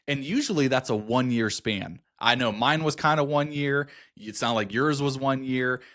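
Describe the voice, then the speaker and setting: Male podcast host, mid pitch, warmly encouraging, talks at length. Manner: encouraging, talks through points at length with restarts. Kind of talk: interview podcast.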